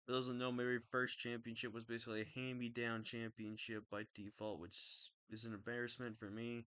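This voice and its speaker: A young man talking casually about his game team, rambling and correcting himself, with a slightly raspy throat. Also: medium pitch, brisk, no room sound. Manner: rambling, self-correcting, excitable. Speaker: draft league player. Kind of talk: casual video commentary.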